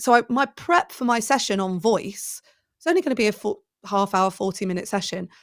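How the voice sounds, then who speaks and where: Enthusiastic woman thinking aloud. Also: mid-range voice. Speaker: presenting coach, guest. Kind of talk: conversational podcast interview.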